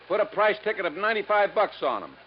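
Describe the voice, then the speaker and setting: Shady middle-aged american sales boss, slangy and whiny, kinda high voice, old noisy radio show. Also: male voice, big pitch swings, fast. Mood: brisk, scheming. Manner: blustering, slangy, self-pitying. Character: harried, crooked middle-aged furniture store boss, blustering hustler. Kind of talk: 1950s radio detective drama acting. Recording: dull, noisy 1950s radio recording.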